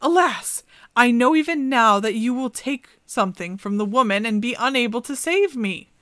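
Brief: enchanted raven princess, lilting and high, lively rise and fall, a woman reading it aloud, dry sound. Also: moderate pace, no room sound. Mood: earnest. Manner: lilting, higher put-on voice.

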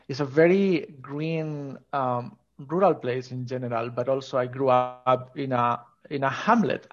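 A man's voice: medium pitch, gravelly, dull audio. A man from northern Spain with a Spanish accent, talking enthusiastically and informally as a guest in a conversational interview. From Asturias, northern Spain. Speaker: podcast guest, scientist.

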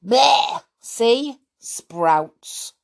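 Woman reader voicing a chatty, blunt schoolboy wizard, pitched up and lively. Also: extremely slow, vocal fry, exaggerated voice. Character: cheeky, blunt young wizard boy.

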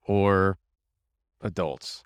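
A man's voice: low voice, very slow, smooth, dry recording, clean studio sound. A man hosting a podcast in an upbeat, informal, enthusiastic way, chatting casually with a guest. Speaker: podcast host. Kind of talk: casual interview chat.

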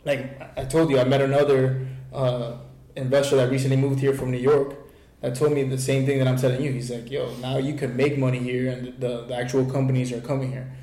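A man's voice: medium pitch, fairly quick, reverberant. A man hosting a casual conversation, talking with energy and often checking "right?". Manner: energetic, keeps saying "right?". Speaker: host.

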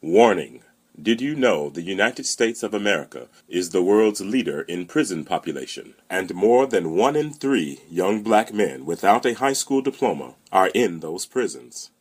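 Male announcer, deep and rough-edged, animated delivery of a scripted warning message. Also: moderate pace.

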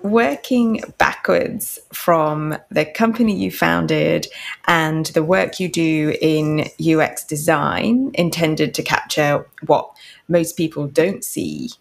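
A woman hosting a podcast, reading a prepared introduction and asking questions in a measured, deliberate way. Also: low-pitched, lively intonation, creaky. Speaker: podcast host.

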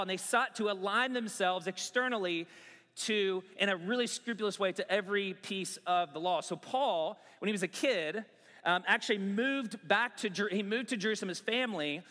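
A pastor preaching a sermon in a conversational way, mixing scripture with pop-culture asides. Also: male voice, high-pitched, pushed and bright, big-room sound.

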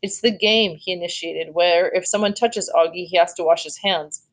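A woman's voice: lowish voice, normal speed, lightly acted, low-quality recording. A woman voicing a cold, curt school principal. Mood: firm, accusing. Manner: curt, firm.

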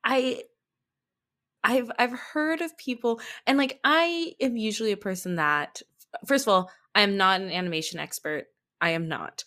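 A woman with a medium-pitched voice chats casually as a guest on a podcast. She tells things in an expressive, conversational way. She tends to add modest asides about herself. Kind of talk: casual chat about anime.

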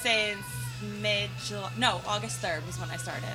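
A woman's voice: mid-range voice, moderate pace, pushed and bright, faint music behind. A woman, a fitness instructor and guest, chatting eagerly in a restaurant. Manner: eager, agreeable, giggly. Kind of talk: casual chat.

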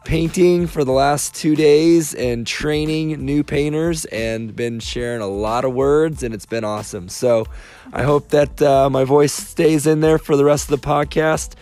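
A man hosting a casual podcast chat, his voice raspy and worn, upbeat and friendly with filler pauses, recorded outdoors by a backyard bonfire. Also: fairly high pitch, a little mellow, soft background music. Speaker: podcast host.

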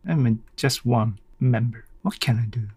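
A man who is not a native English speaker, talking unscripted and reflectively on a solo podcast, thinking aloud in short, halting phrases. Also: mid-range voice, darkish tone, husky, dry recording. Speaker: podcast host, researcher.

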